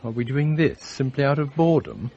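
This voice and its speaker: Ardent, animated lover. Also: male voice, medium pitch, a little fast, gravelly, husky, lo-fi sound. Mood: amorous. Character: ardent male lover, a film-maker, resentful. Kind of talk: British radio play acting.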